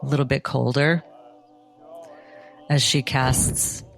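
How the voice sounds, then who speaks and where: A woman with a gravelly, creaky voice plays a tabletop roleplaying game. She narrates and speaks in character, guarded and deliberate, with faint music behind. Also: extremely low pitch, level tone.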